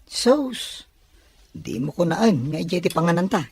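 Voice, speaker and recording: A teasing, friendly young Filipino student lad acted out by a woman in an audio drama. The pitch is very low for a woman, with a chummy way of talking. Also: very animated, unhurried, a little mellow, husky, heavily acted. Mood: agreeable. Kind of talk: amateur Ilocano audio drama acting.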